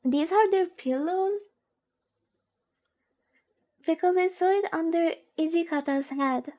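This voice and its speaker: A woman's voice: very high-pitched, takes it slowly, warm tone. A woman streamer reading a visual novel aloud in a soothing way, with cutesy, gushing chatty asides. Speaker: VTuber streamer.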